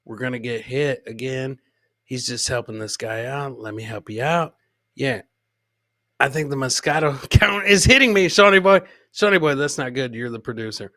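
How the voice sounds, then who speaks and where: A man hosting a live comedy podcast, casually reacting to funny clips in a rambling, jokey, animated way and repeating his catchphrases. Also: mid-range voice, moderate pace, no room sound, clean studio sound.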